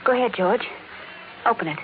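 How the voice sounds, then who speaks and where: A woman's voice: fairly high pitch, lively intonation, brisk, close to an everyday voice. A female actor with an American accent playing an anxious, insistent wife in a 1950s radio drama, urgent and pleading. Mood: insistent, worried. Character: anxious, insistent wife and mother in a futuristic home. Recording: noisy, narrow vintage radio recording.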